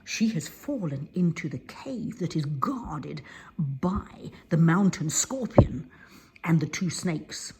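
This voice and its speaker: A woman voicing a kind mountain fairy who speaks calmly and turns stern when giving commands. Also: low-pitched, takes it slowly, breathy edge, exaggerated voice, low-quality recording. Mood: gentle. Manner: calm, then stern commands.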